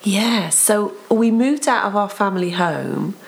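A middle-aged woman from Wales with an English accent, talking casually as an interviewee, chatty and quick to jump in with her own story. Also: medium pitch, expressive, creaky. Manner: chatty, interjecting, speeding up when telling a story. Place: building site overlooking a town.

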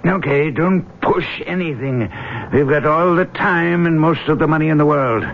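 A tinny old radio recording with faint noise carries a male actor as a rich, shrewd older expedition boss. He speaks with deliberate, businesslike control, as in a vintage adventure drama. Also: a little high, close to an everyday voice. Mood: brisk, commanding. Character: older wealthy treasure-hunting expedition boss, shrewd and smooth.

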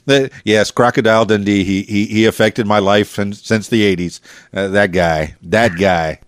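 Low-voiced American podcast host, dry sound. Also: male voice, unhurried, no room sound. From United States. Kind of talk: casual interview chat.

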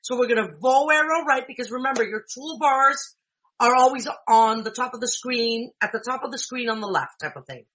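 Bright-voiced woman teaching, native Greek speaker. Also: on the high side, brisk. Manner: chatty, explanatory, with frequent "okay?" check-ins. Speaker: tech instructor. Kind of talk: casual screen-reader tutorial.

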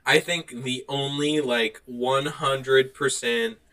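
A man's voice: medium pitch, fairly even intonation, slow, pushed and bright, low-quality recording. A man co-hosting a podcast, chatting casually about movies in a blunt, opinionated way. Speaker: podcast co-host.

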